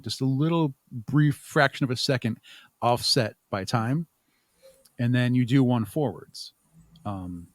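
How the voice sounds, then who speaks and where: Male interview guest, mid-pitched, conversational, explains step by step, close dry sound. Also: dry recording. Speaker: interview guest, audio drama creator.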